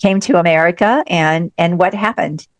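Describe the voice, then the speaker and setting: Low-voiced woman podcast host, dry sound. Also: normal speed, no room sound. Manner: frequent affirming backchannels. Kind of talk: interviewing.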